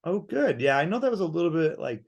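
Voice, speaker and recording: Male podcast host, fairly high-pitched and gravelly, chatty and affirming. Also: big pitch swings, quick pace. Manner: chatty, affirming, laughs easily. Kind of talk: casual interview hosting.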